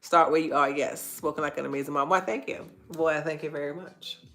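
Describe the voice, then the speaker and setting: A woman's voice: deep voice, steady tone, a little fast, fairly bright tone. A woman hosting an inspirational faith-based livestream, earnest and repeating phrases for emphasis, her nose stuffy and congested. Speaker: host of a live online talk.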